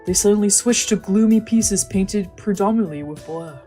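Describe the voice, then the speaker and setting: A woman's voice: medium pitch, normal speed, dark tone. A young woman doing casual let's play commentary and reading a visual novel aloud, with slangy, sweary talk. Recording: music behind the voice.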